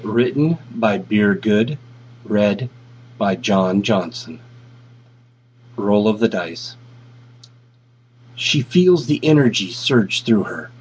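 A man's voice: fairly low pitch, a little hiss or hum. A man reading a piece of fiction aloud as scripted narration. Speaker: fan fiction reader. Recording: dull, lo-fi audio.

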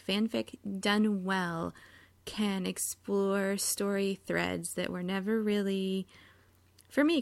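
A woman podcast host speaks casually and informally, with plenty of vocal fry. The recording is close and dry, and she chats her way through an introduction. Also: medium pitch, no room sound. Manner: chatty, informal.

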